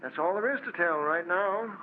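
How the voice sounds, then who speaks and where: A man's voice: sits high, lively intonation, normal speed, background noise. An actor playing an earnest, level-headed man, urgent and reassuring, in the theatrical style of forties radio drama. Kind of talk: 1940s radio drama acting. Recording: tinny vintage radio recording.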